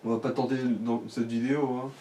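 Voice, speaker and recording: A man's voice: mid-range voice, flat delivery, brisk, warm tone, recorded from a distance. A man giving casual, slangy let's play commentary as he guides the player. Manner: colloquial, slangy. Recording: background noise behind the voice.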